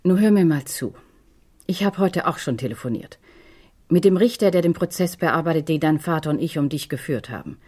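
Low, husky, insistent mother. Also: lively intonation, fairly quick, warm tone. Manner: insistent, controlled. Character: firm, possessive mother pleading with her resistant daughter. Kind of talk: German radio crime drama acting.

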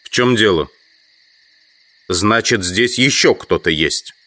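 An actor playing a questioning, brooding trapped scientist in an audio drama. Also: male voice, fairly low pitch, animated, slow, pushed and bright, full character voice. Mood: puzzled, questioning. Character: puzzled, brooding entomologist trapped in a sand pit.

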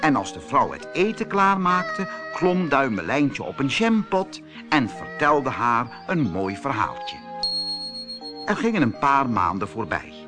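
Male storyteller, children's fairy tale, expressive, unhurried, highly varied pitch, faint music behind. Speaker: storyteller, audiobook reader.

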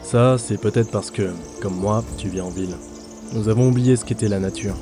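A man playing a reserved, helpful ranger in a fantasy audio drama, with a calm, instructive delivery. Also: fairly low pitch, normal speed, music in the background, close to an everyday voice. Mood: calm, explanatory. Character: male ranger player in a fantasy game world, gruff but helpful.

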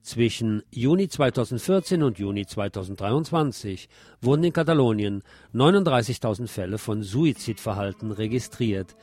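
A man's voice: mid-range voice, animated, normal speed, darkish tone. A man hosting a radio programme, reading scripted commentary and news. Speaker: radio host.

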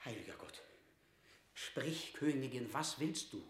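An actor playing an anxious, dutiful royal secretary in a classic radio drama, speaking in a formal, pleading, theatrical manner. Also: male voice, medium pitch, animated, unhurried, lightly acted. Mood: alarmed, pleading. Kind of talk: classic German radio play acting of verse drama. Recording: roomy, reverberant radio drama studio.

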